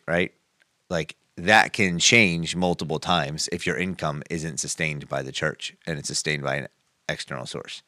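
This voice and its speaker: A man's voice: low-pitched, sharp tone, no echo, studio quality. A man co-hosting a podcast, talking through faith ideas in a rambling, casual way with many restarts. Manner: rambling, restarts mid-thought. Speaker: podcast co-host.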